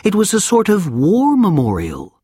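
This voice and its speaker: A man voicing an earnest, anxious young boy in a storybook reading, speaking high and pleading. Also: wildly swooping pitch, moderate pace, dark tone, husky, no room sound, studio quality, full character voice.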